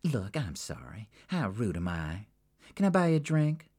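A man voicing a brash, loud-mouthed woman with a faint Texan accent, blunt and nosy, swearing freely. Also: fairly low pitch, sing-song, normal speed, pitched above the performer's own voice.

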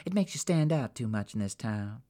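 A man's voice: medium pitch, expressive, normal speed, warm tone, pitched above the performer's own voice, close to an everyday voice. Give me a man voicing a brash, nosy Texan woman. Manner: blunt, nosy, swearing. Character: brash loud-mouthed woman, Texan living in a big city.

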